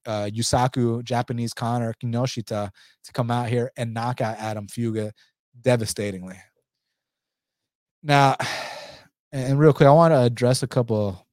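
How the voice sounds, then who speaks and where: A man's voice: mid-range voice, steady tone, measured pace, pauses often, a little mellow, no echo. A young American man giving casual, profane fight-pick commentary, talking straight to his viewers. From United States. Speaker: MMA fight picks commentator.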